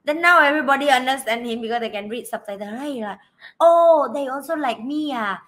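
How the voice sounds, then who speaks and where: Animated woman co-host. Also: high-pitched, brisk. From Vietnam. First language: Vietnamese. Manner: animated, broken English phrasing, laughs easily. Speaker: podcast co-host. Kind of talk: casual podcast chat.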